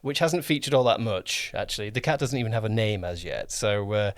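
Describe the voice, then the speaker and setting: A man's voice: on the low side, expressive, fairly quick, dry recording, studio quality. A man hosting a casual podcast interview, rambling a little as he rephrases his questions. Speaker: podcast host and drama producer.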